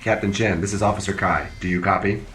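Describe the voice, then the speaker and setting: Low-voiced, stiff, dutiful officer played by a man. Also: music in the background, lightly acted. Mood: composed, dutiful. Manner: formal, measured, deadpan. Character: stiff, dutiful male security officer on a spaceship. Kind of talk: scripted audio drama acting.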